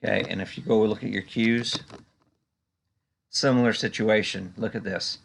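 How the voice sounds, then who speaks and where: Male analyst, low gravelly voice, conversational and halting, talking through charts off the cuff. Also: steady tone, a little hiss or hum. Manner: conversational, hesitant, frequent "you know". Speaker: stock market analyst and video host. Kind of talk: unscripted market chart commentary.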